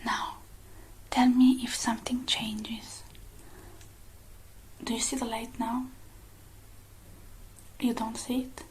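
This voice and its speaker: Woman in a slow, hushed ASMR whisper. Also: a little high, flat delivery, a little hiss or hum, rough recording. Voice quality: breathy whisper, mostly unvoiced. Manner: hushed, gentle, slow with many pauses. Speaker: ASMR roleplay host. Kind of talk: whispered ASMR roleplay, one-sided personal attention.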